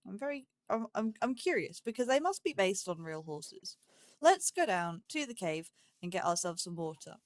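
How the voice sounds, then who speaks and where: A woman's voice: mid-range voice, big pitch swings, moderate pace, no echo. Woman rambling through casual let's play commentary. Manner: rambling, thinking aloud. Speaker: host of a gaming let's play series.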